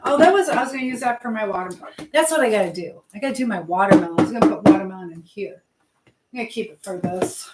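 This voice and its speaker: A woman hosting a casual live stream while busy in the kitchen, chattily talking to herself and to her viewers and reading out comments. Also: mid-range voice. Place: indoors in a kitchen. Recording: echoey room with clear background noise.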